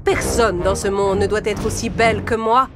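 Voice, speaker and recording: A woman's voice: medium pitch, fairly even intonation, moderate pace, music in the background, natural voice. A woman voicing a cruel, vain witch from a children's fairy tale, gloating in a menacing, theatrical way.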